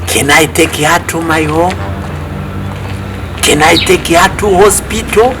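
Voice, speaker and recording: A young man, playing an excitable young man who is smitten and then terrified. He acts in an overblown, shrieking comedy-skit style. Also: fairly high pitch, slow, noisy background. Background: music.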